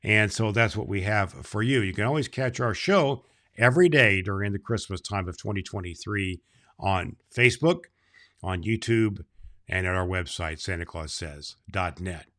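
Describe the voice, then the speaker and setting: A man playing Santa Claus, reading a children's book aloud and talking to kids in a slow, gentle storytelling manner. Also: lowish voice.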